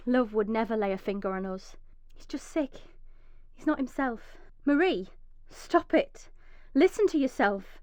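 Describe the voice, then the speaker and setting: An actress playing a frightened, injured young mother in a radio drama, emotional and swinging from hushed dread to pleading. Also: on the high side, lively intonation, a little slow, no room sound. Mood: bitter, anguished. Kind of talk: radio drama monologue with acted dialogue.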